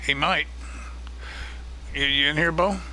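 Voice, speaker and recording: A man hosting a live stream of a multiplayer farming game, chatting casually and greeting viewers by name, his voice getting a little hoarse. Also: a little high, steady tone, measured pace, sharp tone, a little hiss or hum, poor audio. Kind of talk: casual live-stream chat while gaming.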